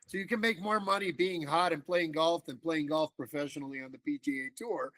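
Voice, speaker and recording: A middle-aged man from the Dominican Republic, talking casually as a podcast guest in an animated, rambling way with lots of fillers and restarts. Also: fairly high pitch, brisk, dry recording. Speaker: political organizer guest.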